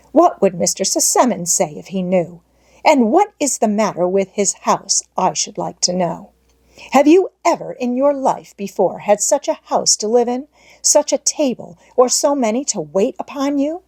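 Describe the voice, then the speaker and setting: A woman's voice: fairly high pitch, expressive, unhurried, warm tone, slightly rough, voice pushed up, exaggerated voice. A woman voicing a strict, haughty lady housekeeper in a storybook, scolding with indignant, imperious delivery. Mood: exasperated scolding.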